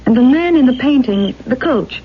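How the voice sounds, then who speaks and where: An actress playing an eager, romantic young stage hopeful, earnest and hesitant, in an old-time radio drama. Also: female voice, high voice, animated, moderate pace. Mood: charmed, curious. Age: young adult. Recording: dull, noisy old radio recording.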